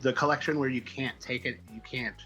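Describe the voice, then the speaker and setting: An American man with a bright, forward voice talks as a guest in a casual interview. He tells stories in a halting, wandering way at a middle pitch. There is some music behind him. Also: fairly even intonation. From Midwestern United States. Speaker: comic book writer, interview guest. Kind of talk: casual conversational storytelling.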